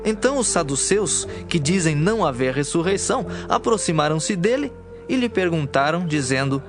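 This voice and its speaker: Male narrator for audio Bible, fairly high register, scripted storytelling over a light music bed. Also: animated, moderate pace.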